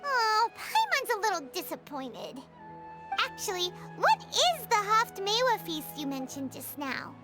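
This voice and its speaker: A woman voicing a tiny childlike fairy companion in a video game, excitable, opinionated, whiny and exclamatory. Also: very high-pitched, a little slow, loud music behind the voice, heavily acted. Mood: curious. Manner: refers to self in third person, whiny and exclamatory.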